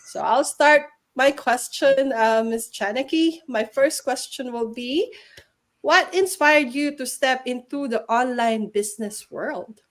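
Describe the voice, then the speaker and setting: A woman hosting a livestream chat, upbeat and persuasive, pitched a touch high. She talks with plenty of fillers and restarts. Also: slow. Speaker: host. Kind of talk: livestream interview.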